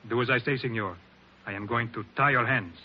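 An actor playing a polite, sly bandit guide in a 1940s radio drama, with a foreign accent and formal phrasing. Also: male voice, medium pitch, brisk, lightly acted. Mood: coldly commanding. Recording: muffled, noisy 1940s radio recording.

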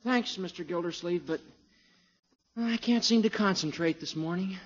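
A man's voice: high voice, moderate pace. An actor playing a nervous, polite young American expectant father in a 1950s radio comedy, earnest and hesitant. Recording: dull vintage radio recording.

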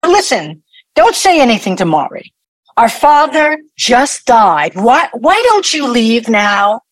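Woman acting a high-voiced, sarcastic hostile daughter. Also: big pitch swings, slow pace, no echo, full character voice, rough recording. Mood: tense, evasive. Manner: sarcastic, impatient. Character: hostile, sarcastic, grieving grown daughter of a dead mogul. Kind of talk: audio play acting.

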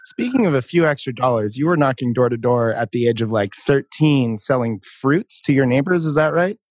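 A man's voice: mid-range voice, lively intonation, brisk, no echo. A man hosting an interview in a conversational, informal way. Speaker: interview host.

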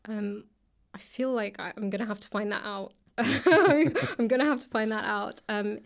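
A woman talking casually as an author guest, tentative and modest, quick to laugh. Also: mid-range voice, gravelly. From England. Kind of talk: casual interview conversation. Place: library room.

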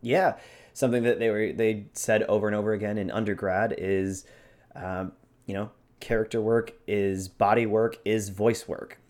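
A man's voice: fairly low pitch, steady tone, normal speed, darkish tone. A man co-hosting an instructional chat about character voices, explaining things in an informal, conversational way. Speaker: co-host with acting background.

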